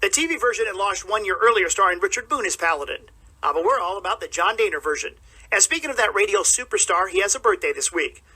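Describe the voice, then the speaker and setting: A man presenting as a classic radio host in an upbeat style, with a husky edge to his voice. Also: sits high, expressive, quick pace, bright, forceful tone, rough recording.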